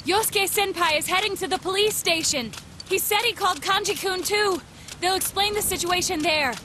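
Game role: formal young sleuth, very high voice, analytical and measured, over clear background noise. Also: female voice. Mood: urgent, composed. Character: composed, analytical teenage girl detective. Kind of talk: video game voice acting.

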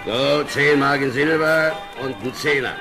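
An actor playing a bossy, scheming small-time crook in a radio play, slangy and needling. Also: male voice, mid-range voice, steady tone, a little slow, creaky, over music. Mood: coaxing, sly. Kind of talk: German radio play acting.

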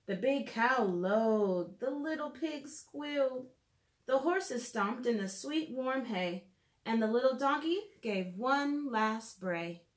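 Slow, high-voiced woman teaching young children. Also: expressive. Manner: clear, simple storytelling for young children. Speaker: children's educator reading and drawing. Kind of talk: picture-book read-aloud and drawing lesson for preschoolers. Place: small room at home, indoors.

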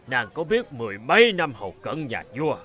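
An actor playing a burly, boastful, hot-tempered royal guard and bridegroom in a cartoon folk tale, emphatic and demanding. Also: male voice, mid-range voice, big pitch swings, brisk, sharp tone. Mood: drunken boasting. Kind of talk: animated folk-tale dialogue.

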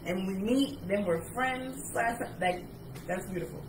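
A woman's voice: mid-range voice, unhurried. A woman in her thirties with a New York accent, co-hosting a casual livestream in a laid-back, blunt, joking way. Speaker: page admin and co-host. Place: indoor kitchen. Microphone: camera or laptop mic. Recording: echoey kitchen from a distance, with noise.

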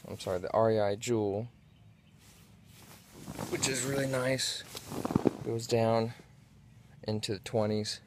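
A man in his thirties giving a casual, unscripted gear review in a low-key, halting way inside a small tent. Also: fairly even intonation, noisy background.

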